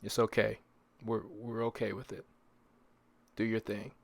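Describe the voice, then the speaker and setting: A man's voice: on the low side, little pitch movement, measured pace, creaky. A man hosting a solo podcast in a casual, conversational way, repeating phrases for emphasis and posing rhetorical questions. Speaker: podcast host.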